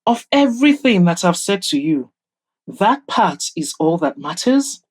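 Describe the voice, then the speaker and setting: A woman's voice: fairly low pitch, very animated, unhurried, husky, full character voice. A woman voicing a proud, guarded woman in an audio drama, speaking in pointed, formal, exasperated phrases. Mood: indignant. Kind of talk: audio drama character dialogue.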